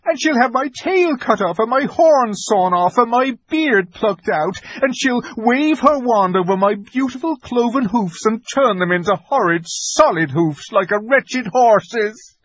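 Male reader playing a timid weeping faun: high, husky, drawn-out and anxious. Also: no echo, exaggerated voice. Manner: fretful, pleading, slow and drawn out.

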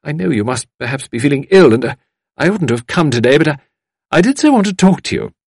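A man's voice: on the high side, very animated, quick pace, vocal fry, slightly rough, no room sound, studio quality, voice pushed up, exaggerated voice. A man voicing a gushing, flustered, apologetic woman visitor with a stammering, effusive manner in an audiobook. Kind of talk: audiobook character voice.

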